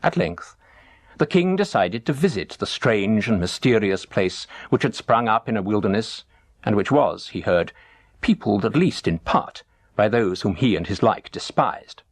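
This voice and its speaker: A man reading a traditional tale as a storyteller, deliberately, phrase by phrase. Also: lowish voice, lively intonation, dry recording, studio quality. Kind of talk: scripted narration of teaching tales.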